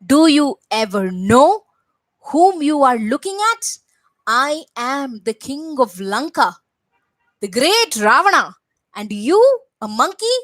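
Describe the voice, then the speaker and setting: A haughty ten-headed demon king bellows his contempt, as a woman storyteller puts him on. The voice sits high and is close and dry, with no room around it. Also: expressive, moderate pace, hardly any pauses, no echo, rough recording. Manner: roaring, haughty, scornful.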